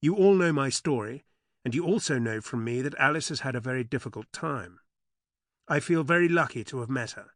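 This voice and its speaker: A man's voice: medium pitch, animated, fairly quick, dry recording, clean studio sound, lightly acted. A man doing a confident, beaming fiancé. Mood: proud. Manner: beaming, assured. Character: confident, doting man announcing his engagement.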